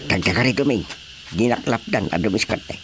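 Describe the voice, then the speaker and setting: An actor playing a pompous, prying village chairman in a radio comedy drama, speaking deliberately with an Ilocano accent. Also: lowish voice, animated, a crowd in the background, natural voice. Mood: challenging.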